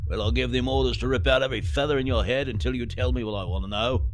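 A man's voice: mid-range voice, fast talker, slight background noise, close to an everyday voice. A man playing a smug, menacing fat cat crime boss in an audio drama, with sardonic, mock-genteel villainy.

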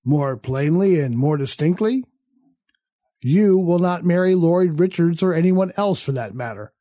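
A man acting in an audio drama as an urbane, sardonic theatre critic with a measured, condescending manner and quiet menace. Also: fairly high pitch, lively intonation, no room sound, studio quality. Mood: cold, commanding. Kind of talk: audio drama acting with first-person narration.

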